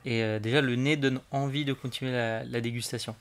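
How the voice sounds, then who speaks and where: A young man speaks as a sommelier guest, walking through the steps of a wine tasting. He explains things eagerly and patiently, in a voice of medium pitch. He often rushes ahead in fast bursts. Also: steady tone. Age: young adult. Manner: enthusiastic and didactic, often speeds up.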